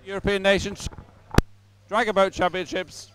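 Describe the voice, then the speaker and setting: Guy with a pretty high voice doing live sports presenting. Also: flat delivery, moderate pace, background noise. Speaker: commentator. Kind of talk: live broadcast sign-off.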